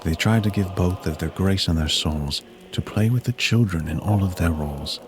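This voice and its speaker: A man narrating a rhymed Christmas story in verse with a measured, solemn delivery. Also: sits low. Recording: music bed under the voice. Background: music.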